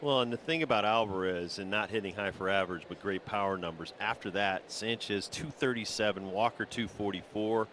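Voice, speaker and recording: Male color commentator, fairly low voice, conversational, explanatory, live baseball analysis, light background noise. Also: fairly quick, few pauses, a bit bright.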